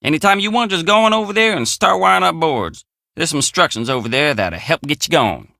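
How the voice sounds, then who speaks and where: A man's voice: fairly high pitch, expressive, a little fast, vocal fry, bright, forceful tone, dry recording, clean studio sound, exaggerated voice. An actor playing a friendly, plainspoken young country handyman with an American drawl in a video game. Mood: upbeat, eager. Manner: folksy, plainspoken country phrasing. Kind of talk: video game character dialogue.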